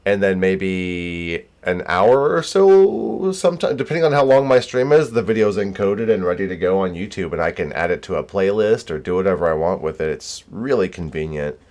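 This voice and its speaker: An American man with a deep voice streams games and chats as he goes. His delivery is easygoing and informal, wandering from thought to thought. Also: animated, moderate pace, hardly any pauses, darkish tone. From United States. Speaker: game streamer. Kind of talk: casual livestream chat.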